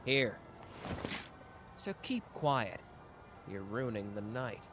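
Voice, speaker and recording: A man's voice: on the low side, slow pace, background noise. A man voicing a short-tempered but protective young man who talks curtly, in an ASMR roleplay. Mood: gruff. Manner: gruff and curt, brusque.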